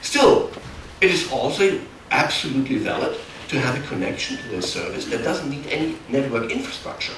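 A middle-aged man with a German accent giving a technical workshop talk in a large hall, explaining in a halting, self-correcting way. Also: mid-range voice, very animated, a bit bright. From Germany, near the Dutch border. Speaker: conference speaker. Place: large darkened conference hall. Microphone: far camera. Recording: echoey hall, distant mic, background noise.

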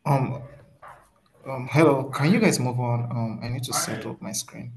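A man's voice: mid-range voice, moderate pace, a crowd in the background, low-quality recording. A man speaking politely and informally as a mentee in an online meeting. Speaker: mentee giving updates. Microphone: video call.

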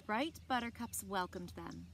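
A woman in her thirties reading a children's picture book aloud expressively, with deliberate phrasing and dramatic emphasis. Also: medium pitch, fairly bright tone. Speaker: library story time reader. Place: outdoors on a lawn by trees. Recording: outdoor background noise with birdsong. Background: outdoor ambience, birds.

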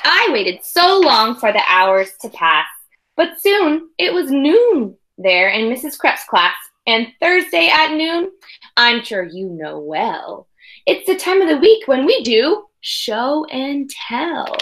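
A woman in her thirties reading a picture book aloud to young children with expressive emphasis and casual asides, in a room at home. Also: high voice, slow, low-quality recording. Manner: expressive, exaggerated storytelling emphasis. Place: small room at home, indoors.

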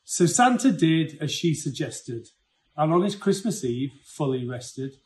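A man's voice: fairly high pitch, sing-song, a little slow, darkish tone. A man in his forties reading a rhyming story aloud. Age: 40s. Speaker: poem reader. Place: indoor room with brick wall.

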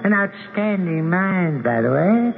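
A muffled, hissy old radio broadcast carries an imperious old god. He speaks slowly and formally, in a fairly high male voice, handing down pompous, stern pronouncements in radio-play style. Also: animated, creaky, heavily acted. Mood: scornful, stern. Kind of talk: 1970s radio drama acting.

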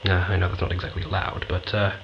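Low-key actor as easygoing male space commander. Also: monotone, fast talker, vocal fry, lo-fi sound, slight background noise. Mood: informal, hesitant. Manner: informal, hesitant, placating. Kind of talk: science fiction audio drama acting.

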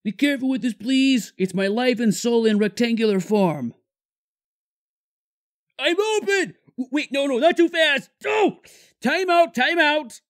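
A man voicing an excitable, anxious young male panda who talks in a high-strung, whiny way with panicky outbursts. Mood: fussy.

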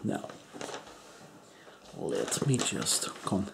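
A man voicing an eccentric, crude foreign male doctor in a deadpan whisper. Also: sits low, gravelly, slight background noise, heavily acted. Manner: whispery, slow, deadpan joking. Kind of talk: comedic ASMR roleplay.

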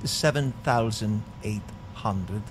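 Formal wartime officer, noisy film clip. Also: male voice, on the low side, slow pace. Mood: formal, dutiful. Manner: formal, factual. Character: dutiful male Nazi subordinate officer reporting figures. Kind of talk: film drama dialogue. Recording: film clip with clear background noise.

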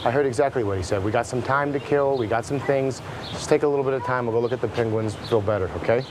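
An actor playing a paranoid, frazzled criminal who swears constantly. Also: male voice, mid-range voice, quick pace, background noise, natural voice. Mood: coaxing. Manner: profane, pleading then ranting.

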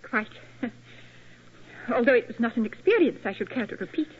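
Lively, genteel, plucky young lady. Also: a little high, normal speed, husky. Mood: plucky relief. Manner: polite, coy, chatty. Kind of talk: 1940s radio acting. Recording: muffled, faintly noisy old radio recording.

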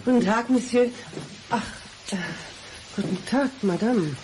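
An actress playing an obliging village housekeeper in a radio play, polite, chatty and slightly hesitant. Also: female voice, on the high side, a little slow, a little mellow, a little hiss or hum, lightly acted.

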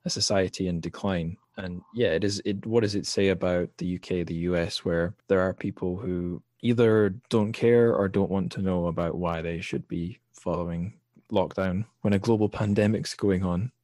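A man's voice: low voice, fairly even intonation, normal speed, darkish tone, no room sound, clean studio sound. A man hosting a podcast, casually discussing a book in a reflective, analytical way. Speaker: podcast host.

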